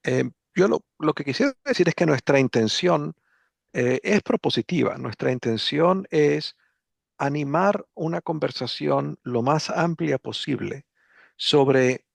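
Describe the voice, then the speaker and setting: Author on a panel, gravelly male voice, measured delivery, dry close mic. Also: a little high, lively intonation, no room sound. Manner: measured, interprets for a colleague. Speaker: book author. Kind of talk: panel discussion remarks.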